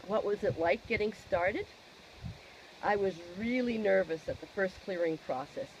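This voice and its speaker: A woman giving a personal testimonial in an expressive, emphatic way, posing questions and answering them herself. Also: on the high side, highs cut off, slight background noise.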